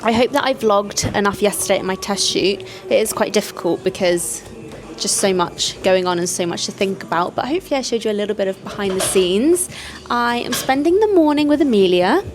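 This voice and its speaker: A woman's voice: mid-range voice, expressive, brisk. A young woman, chatting casually to camera for her vlog, upbeat and full of "like" and "so". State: voice going, getting sick. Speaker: vlogger.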